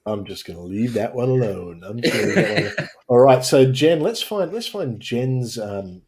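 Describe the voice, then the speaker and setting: A man's voice: mid-range voice, animated, normal speed, a little mellow. A man hosting a casual live show, often repeating and restarting his phrases. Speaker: host.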